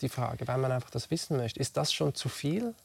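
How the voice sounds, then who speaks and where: Swiss man hosting, gravelly voice. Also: medium pitch, animated, brisk. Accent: Swiss German. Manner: conversational questions, with affirming murmurs. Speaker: host. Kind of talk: radio interview.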